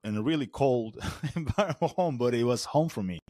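A man from Venezuela hosting a firefighter podcast, easygoing and quick to laugh, often affirming with "yeah". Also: mid-range voice, lively intonation, dark tone, no room sound, clean studio sound. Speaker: podcast host. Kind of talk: casual podcast interview.

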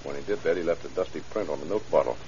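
A man's voice: extremely low pitch, very fast, mellow. An actor playing a determined male police lieutenant in an old radio drama, talking in a clipped, businesslike manner. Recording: muffled, hissy old radio recording.

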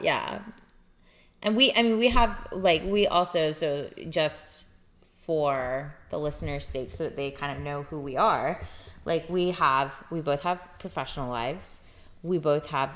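A woman in her thirties with a low-pitched voice co-hosts a casual podcast. She teases and giggles a lot, filling her talk with "like" and "um.". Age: 30s. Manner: teasing, giggly, lots of fillers. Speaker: podcast co-host. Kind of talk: casual chat.

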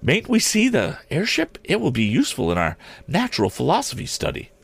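An eager, well-mannered schoolgirl, as a man puts her on, with a voice lifted very high and moving freely up and down. She sounds like a young lady pupil from an old storybook, recorded dry. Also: huge pitch swings, a little fast, vocal fry, slightly rough, dry recording, full character voice. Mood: pleading.

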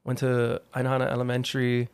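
A man in his thirties from Hawaii, a guest chatting in a relaxed way on a podcast interview, with lots of "like" and "you know". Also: medium pitch, quick pace, clear, resonant tone. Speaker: guest, artist manager.

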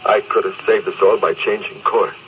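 An actor with an American accent playing a proud, emotionally closed captain in a classic radio drama, with intense, clipped delivery. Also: male voice, lowish voice, little pitch movement, moderate pace, close to an everyday voice. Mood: weary, regretful. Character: proud, stern, emotionally closed spaceship captain and fleet commander. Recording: noisy, dull old radio recording.

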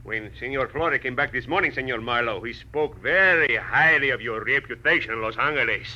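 Stiff guard captain in old radio show, super sharp voice, polite but cagey, muffled audio. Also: male voice, mid-range voice, brisk. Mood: coldly polite. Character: stiff, evasive male Mexican guard captain, coldly polite. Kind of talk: 1940s radio drama acting. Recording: dull old radio recording.

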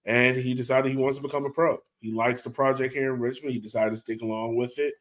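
A man's voice: medium pitch, steady tone, fast talker, fairly bright tone. A man hosting a sports podcast, talking casually and bluntly in an opinionated, conversational way. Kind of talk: casual soccer fan podcast discussion.

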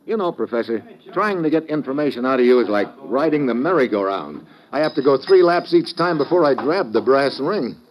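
A man's voice: mid-range voice, expressive, brisk, hardly any pauses, close to an everyday voice, rough recording. An actor playing a tough, wisecracking government agent who tells his own case in a vintage radio drama, with clipped, world-weary delivery. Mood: exasperated, sardonic. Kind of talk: 1940s-50s radio detective drama acting and hard-boiled narration. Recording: vintage radio recording with music.

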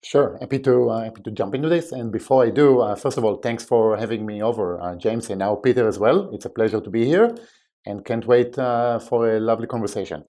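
Israeli-accented man, measured guest. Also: mid-range voice, mellow. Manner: measured, filler-heavy, wry. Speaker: podcast guest, insurance company president. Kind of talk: conversational interview answers.

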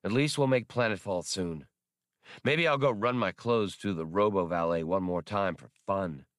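A man voicing a restless, gruff starship pilot and gambler who complains, in an audiobook. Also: low voice, normal speed, dry recording, clean studio sound, lightly acted. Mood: irritated.

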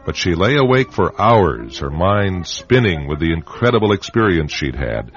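A man's voice: sits low, sing-song, moderate pace. A man narrating a scripted radio drama as its host, telling the story directly to listeners. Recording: dull radio recording. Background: music with flute.

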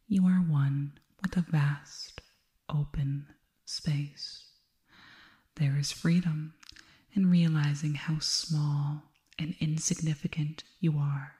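A woman voicing a serene, detached alien girl in an ASMR hypnosis roleplay, speaking softly and breathily, mostly in whispers, with deliberate, formal phrasing. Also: low voice.

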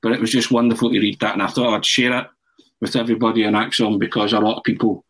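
A man's voice: on the low side, flat delivery, quick pace, fairly bright tone. A man hosting a football podcast in casual chat, joking and chatty. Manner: jokey, chanting, laughs often. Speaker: podcast host.

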